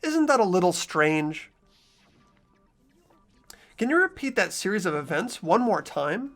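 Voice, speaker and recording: An earnest young detective, acted by a performer in a fan-voiced game drama. The voice is mid-pitched and very lively, rising and falling as he presses for the truth. Light music plays behind. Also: unhurried. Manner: earnest, inquisitive.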